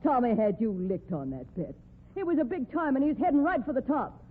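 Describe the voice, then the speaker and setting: An actress with an American accent playing a sharp-tongued, scheming cowboy's wife in old radio drama, with combative, slangy folksy speech. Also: female voice, high voice, very animated, fairly quick, slightly rough, full character voice. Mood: defiant. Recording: tinny, narrow-band old radio recording.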